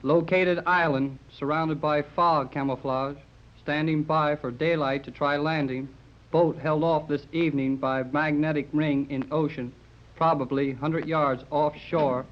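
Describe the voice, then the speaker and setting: Man playing an eager, high-voiced boy radio operator. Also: a little slow, natural voice. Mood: urgent reporting. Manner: excitable, boyish exclamations. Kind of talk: 1930s radio serial acting. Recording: dull, muffled 1930s radio recording.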